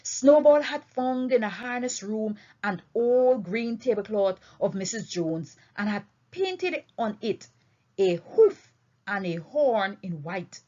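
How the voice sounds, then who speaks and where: A woman reading a novel aloud as an expressive storyteller, with deliberate, emphatic phrasing, on a dull, lo-fi recording. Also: medium pitch. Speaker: audiobook reader.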